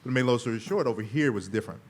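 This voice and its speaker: A deep-voiced man speaking as a guest on a convention panel, rambling and quoting his own thoughts. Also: racing. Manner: rambling, quotes himself. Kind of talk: casual panel talk.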